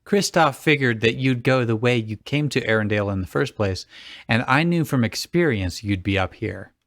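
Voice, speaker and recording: A man's voice: mid-range voice, lively intonation, normal speed, fairly bright tone, no room sound. A man co-hosting, reading out character lines and riffing with dry, mocking remarks. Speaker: co-host.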